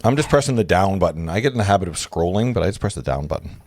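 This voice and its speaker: A man narrating a script informally and haltingly as host of a livestreamed table read, often correcting himself. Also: sits low, expressive, a little mellow, a little hiss or hum. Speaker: host, reader of stage directions.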